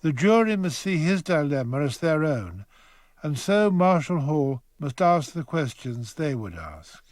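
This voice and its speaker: A man's voice: fairly high pitch, sing-song, unhurried, darkish tone, no echo, studio quality. A man presenting a radio drama with scripted, storytelling narration.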